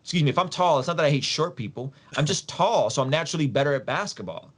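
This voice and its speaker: A man's voice: on the high side, expressive, fast talker. A man from Soviet Russia, a podcast guest explaining things in an emphatic, casual, slangy way, repeating phrases and posing rhetorical questions.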